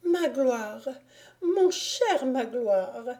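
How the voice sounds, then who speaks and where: A woman's voice: high-pitched, animated, very slow, warm tone, voice pushed up, full character voice. A woman voicing a coy married lady who plays innocent and wronged in an affected manner, in a storybook reading. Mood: swooning, relieved.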